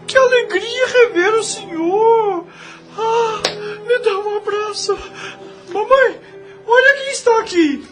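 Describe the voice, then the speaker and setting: A woman playing an excited, affectionate young child in an audio drama, exclaiming in a squeaky voice. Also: takes it slowly, over music. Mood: joyful excitement. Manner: exclaiming, gushing.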